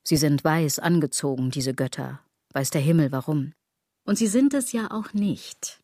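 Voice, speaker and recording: A woman's voice: deep voice, lively intonation, moderate pace, dark tone, dry recording, clean studio sound. An actress playing a self-critical woman who muses ironically in a literary radio drama monologue. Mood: sardonic reflection. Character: self-critical woman brooding obsessively over clothes, body and gods.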